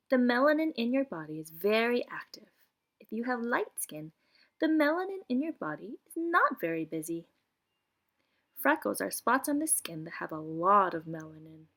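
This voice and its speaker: A woman's voice: a little high, a bit bright. A woman reads a children's picture book aloud like a preschool teacher, explaining things and putting questions to young listeners. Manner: clear explanatory reading with questions posed to children.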